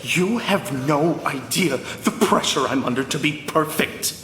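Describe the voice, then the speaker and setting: An actor playing a spoiled, pressured teenage boy, indignant and petulant, in an animated drama. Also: a little high, a little slow. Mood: frustrated, hurt. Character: spoiled, pressured teenage son of a powerful boss. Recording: echoey hall with faint noise.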